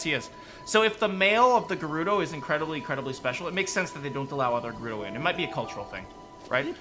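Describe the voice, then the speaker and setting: A man talks in a loose, rambling way, circling back on himself and tagging lines with questions. His voice sits high and swings widely in pitch. It sounds bright over faint background music. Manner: rambling, frequent restarts and "right?" tag questions. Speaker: streamer discussing game lore with chat. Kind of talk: casual rambling chat.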